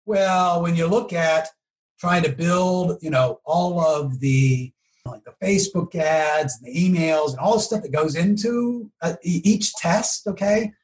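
A man explaining things conversationally as an interview guest, emphatic and often checking in with a quick "okay?" or "right?". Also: a little high, rough recording. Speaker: podcast guest, marketing professional.

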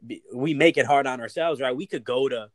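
Man, mid pitch, rambling casual talk, dry close sound. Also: a little fast, no room sound. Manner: rambling, repeats "you know what I mean" and "like". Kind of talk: casual conversation.

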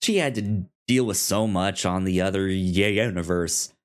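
A man co-hosting a casual TV show discussion, talking haltingly, repeating words and trailing off mid-thought. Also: low-pitched. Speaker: podcast co-host.

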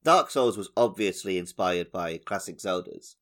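Lowish-voiced man chatting about games. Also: animated, moderate pace, fairly bright tone. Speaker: podcast co-host. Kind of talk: casual gaming podcast chat.

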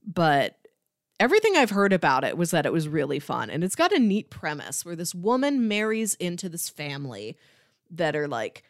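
Animated woman chatting casually, dry close sound. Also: lowish voice, dry recording. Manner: animated, informal, conversational. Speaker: podcast co-host. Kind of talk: casual chat, pitching movies.

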